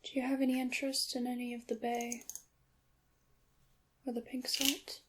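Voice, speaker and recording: A woman playing a courteous witch shopkeeper in an ASMR roleplay, whispering softly and breathily with formal, polite, old-fashioned wording. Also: a little high, little pitch movement, normal speed, husky. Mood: gracious, calm. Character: courteous witch shopkeeper selling handmade magical wares.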